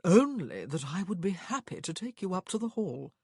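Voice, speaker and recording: A man's voice: sits high, big pitch swings, moderate pace, darkish tone, husky, no room sound, clean studio sound, full character voice. Man putting on a jovial, drawling English lord. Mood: amused, cheerful. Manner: drawling, cheerful, self-assured. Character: jovial, smug English lord, amused and suave. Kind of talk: audiobook character dialogue.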